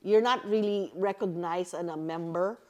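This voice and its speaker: Interview guest: woman from the Philippines, middle-aged, low register, earnest and animated storyteller. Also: moderate pace. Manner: earnest, animated, laughs easily. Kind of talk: reflective personal storytelling.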